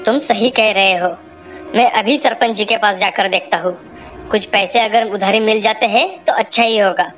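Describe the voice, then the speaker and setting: A woman's voice: medium pitch, steady tone, normal speed, a bit bright, close to an everyday voice. A woman voicing a worried, humble village father, earnest and pleading, in a cartoon moral story. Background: music.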